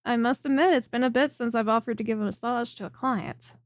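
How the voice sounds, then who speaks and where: High-voiced, clingy lamia chiropractor played by a woman. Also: brisk, no echo, studio quality, natural voice. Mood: affectionate, teasing. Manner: soft, coaxing, teasing, attentive. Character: friendly, clingy female lamia chiropractor, coiled snake monster girl. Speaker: voice performer. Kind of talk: ASMR roleplay, spoken to the listener.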